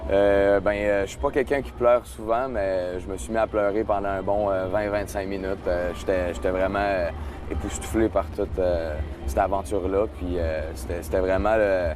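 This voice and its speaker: A man's voice: low-pitched, steady tone, moderate pace, noisy background. A young man with a Quebec French accent, a singer giving an interview outdoors at a festival, hesitant and full of fillers and restarts. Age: young adult. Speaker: singer guest. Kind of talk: casual interview.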